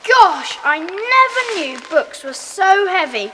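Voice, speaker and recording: A friendly, excitable child chats in a children's audio play. The voice is high and bright with long reverb and faint background noise. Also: female voice, takes it slowly, exaggerated voice. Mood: puffed, friendly. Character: keen, friendly young child club member.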